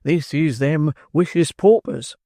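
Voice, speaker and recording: A man voicing a pompous, self-important middle-aged beadle who speaks slowly and gravely. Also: on the high side, mellow, dry recording, studio quality, full character voice. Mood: pompous solicitous.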